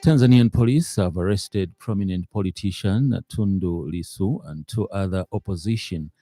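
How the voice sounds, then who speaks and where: A man presenting a radio news bulletin, reading in a halting, hesitant way. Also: low-pitched, mellow, soft background music.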